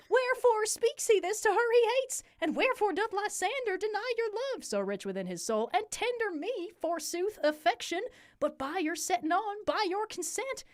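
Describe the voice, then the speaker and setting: A woman acts out a young Southern belle in a stage comedy, high-voiced and melodramatic. She declaims with wronged indignation in a Southern US accent. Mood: indignant.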